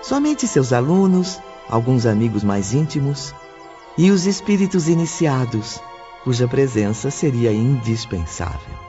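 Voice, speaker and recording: Male narrator, medium pitch, scripted literary storytelling, prominent music bed, slightly dull sound. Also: very animated, measured pace, dark tone.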